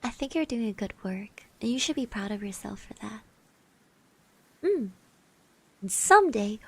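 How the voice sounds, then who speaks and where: A woman speaking softly in an ASMR roleplay, gently reassuring the listener, musing with pauses and little hums, close to the microphone. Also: on the high side. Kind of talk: soft-spoken ASMR roleplay, personal encouragement. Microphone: close microphone, dry.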